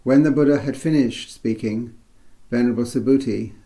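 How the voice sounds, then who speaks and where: A man reading Buddhist scripture with brief explanation, in a measured, solemn storytelling way. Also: mid-range voice, dark tone, rough recording.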